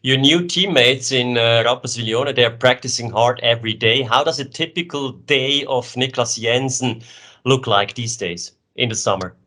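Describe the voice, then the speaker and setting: A man's voice: medium pitch, moderate pace, pushed and bright. A man from Switzerland, asking conversational questions as a sports interviewer.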